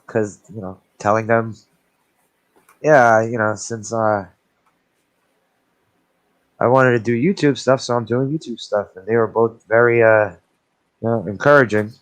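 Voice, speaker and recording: Halting man in his forties. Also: on the low side, low-quality recording. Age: 40s. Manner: halting, repeats words. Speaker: guest. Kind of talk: casual chat.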